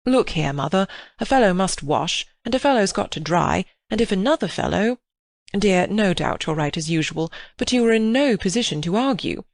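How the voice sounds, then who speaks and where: A woman's voice: mid-range voice, animated, moderate pace, no room sound, studio quality, lightly acted. A woman reading aloud, voicing a boisterous, cheeky young man who answers back breezily. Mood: defensive. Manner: breezy, defensive.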